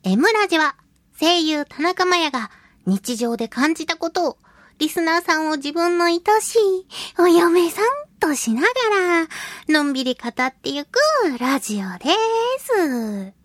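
High-voiced, slow-talking woman radio host. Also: animated, dry recording. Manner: playful, chatty, laughs often. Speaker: radio show host and voice actress. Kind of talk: casual solo radio talk.

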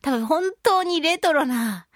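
A woman's voice: sits high, animated, unhurried, no room sound, clean studio sound. A woman hosting a radio talk show, chatting casually and expressively as she talks with listeners. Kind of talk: casual chat, reading and answering listener mail.

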